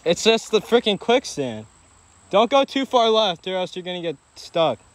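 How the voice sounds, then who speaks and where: A man's voice: high voice, big pitch swings, moderate pace, dry recording. A young American man chatting casually with friends while trekking through wet woodland. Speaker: vlogger on a fishing trip. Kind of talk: casual banter among friends while hiking. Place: outdoors in overgrown wet woodland. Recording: noisy outdoor action recording.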